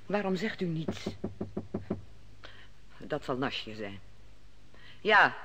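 A woman's voice: sits low, fairly bright tone, heavily acted. An actress playing a middle-aged queen in an old radio drama, anxious, questioning and commanding. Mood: worried. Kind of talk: 1950s-60s radio drama acting. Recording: old radio play with long reverb and faint hiss.

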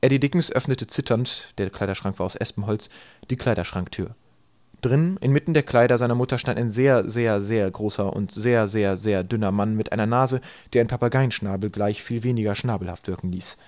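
A man narrating a comic children's story from a script, with dry, deadpan storytelling. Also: lowish voice, fairly quick, dry recording. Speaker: audiobook narrator.

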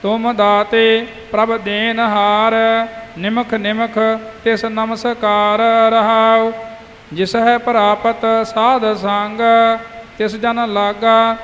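A man reciting Sikh scripture as a student, in measured, half-sung phrases. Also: high voice, a bit bright. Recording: long hall reverb, music.

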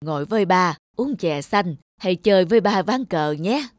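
Kindly, calm elderly father, acted out. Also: mid-range voice, moderate pace, no room sound, clean studio sound, close to an everyday voice. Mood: calm, concerned. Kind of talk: children's cartoon dubbing.

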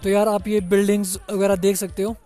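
Brief: young man vlogging outdoors, high voice but mellow tone, easygoing and chatty. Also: flat delivery, a little fast, faint music behind. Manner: chatty, says "yaar" a lot, mixes in English. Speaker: travel vlogger. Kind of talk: casual walking tour commentary. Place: outdoors on a busy hill-town street.

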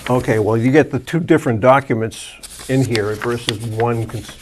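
A man's voice: medium pitch, normal speed, background noise. A man chairing a town planning board meeting, asking direct, methodical questions into a desk microphone. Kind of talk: public board meeting discussion. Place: town hall meeting room.

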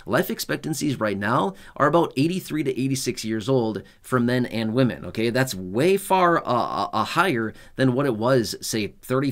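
Chatty man vlogging to camera. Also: medium pitch. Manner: conversational, keeps checking in with "okay?" and "right?"; repetitive, reassuring, animated delivery. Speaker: YouTube channel host. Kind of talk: talking-head explainer vlog. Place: small indoor home studio room. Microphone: fixed camera at chest-up framing.

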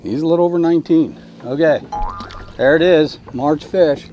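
A middle-aged man fishing from a kayak, talking excitedly to himself as he fights and lands a fish, picked up by a mounted action camera out on the water. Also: on the high side, normal speed, lo-fi sound, faint music behind. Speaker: kayak angler. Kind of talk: live on-the-water commentary. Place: kayak on open water, outdoors, windy. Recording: wind noise on action camera.

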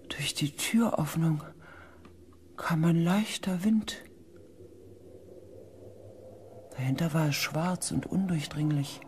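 A woman playing an anxious, weary space expedition pilot, narrating a dramatic audio drama in a hushed, intense way. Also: low voice, a little hiss or hum. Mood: hushed, uneasy. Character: anxious, weary expedition pilot recounting events, far future.

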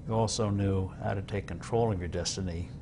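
An older man hosting a sit-down interview, asking long measured questions with "uh" fillers. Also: sits low, steady tone, noisy background. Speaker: interviewer host. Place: studio set like a living room.

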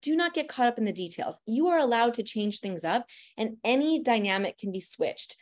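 A woman in her thirties, a family photographer, giving a lecture on posing in a lively, direct and encouraging way. She talks a lot and has a loud voice. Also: on the high side, normal speed. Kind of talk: presentation lecture.

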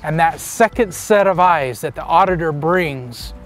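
A man's voice: on the high side, unhurried, faint music behind. A man in his fifties giving an explanatory interview as an energy specialist. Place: outdoors among trees by a wooden fence.

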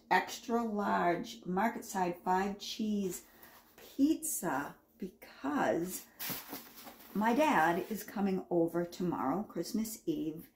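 A woman's voice: mid-range voice, darkish tone, a little hiss or hum. A woman in her fifties chatting casually to camera in her kitchen, rambling a little and repeating herself as she goes. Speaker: home vlogger showing a grocery haul. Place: home kitchen, indoors.

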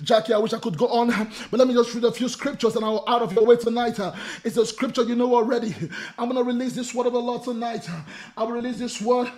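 A man in his thirties preaching over a video call from a small room at home, hoarse from losing his voice, shouting in a chanting cadence with a grunted "uh" between phrases and breaking into tongues. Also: very high voice, steady tone, fast talker. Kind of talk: charismatic sermon with shouting and speaking in tongues. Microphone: webcam in front of him, video call.

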